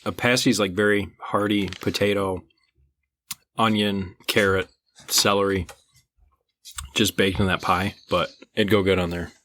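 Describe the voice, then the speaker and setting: A man co-hosting a podcast, relaxed and casual, mostly giving brief reactions. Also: deep voice, measured pace, long pauses. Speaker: podcast co-host.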